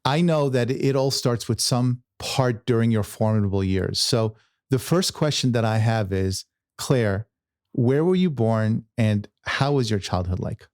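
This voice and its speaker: A man's voice: medium pitch, lively intonation, a little slow, darkish tone, no echo, clean studio sound. A man hosting a podcast, who was born in Israel and grew up in New York, asking earnest leading questions and often checking in with "right?". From born in Israel, raised in New York. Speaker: podcast host. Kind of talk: interview hosting.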